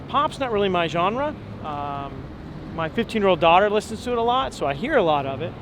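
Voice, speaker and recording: A relaxed man in his forties with a fairly high speaking voice, thinking aloud with plenty of ums. He talks while walking outside, and clear traffic and street sounds are heard behind him. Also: brisk. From Long Island, New York. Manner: relaxed, thoughtful, many ums and restarts. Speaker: opera tenor guest. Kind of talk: casual interview while walking. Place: outdoors on a city street. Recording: outdoor street noise.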